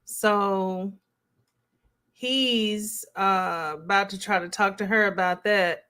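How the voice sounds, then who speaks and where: A woman's voice: medium pitch, steady tone, takes it slowly, bright, forceful tone, clean tone. A woman hosting a live stream, giving casual, conversational reaction commentary as she thinks aloud and responds to chat.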